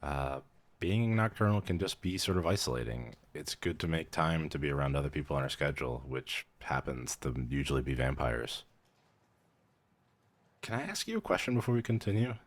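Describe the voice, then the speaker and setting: A man's voice: very deep voice, exaggerated voice. A man playing a weary, friendly young vampire mentor in a roleplay audio, dryly professional yet casual and a little hesitant. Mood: dry, helpful. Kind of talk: roleplay audio, conversational acting.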